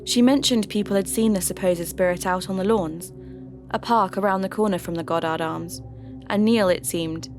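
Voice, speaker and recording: A woman playing a radio host turned investigator, giving measured, reflective first-person narration for a scripted podcast drama. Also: mid-range voice, a little mellow, lightly acted. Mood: sceptical, measured. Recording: music under the voice.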